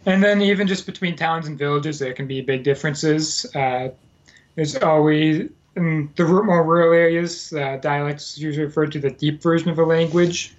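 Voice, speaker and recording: A man's voice: on the high side, normal speed. Male guest, rambling storyteller, many fillers, lo-fi muffled recording. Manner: rambling, frequent fillers and self-corrections. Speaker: guest, former Peace Corps volunteer. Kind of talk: casual podcast conversation. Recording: dull lo-fi sound.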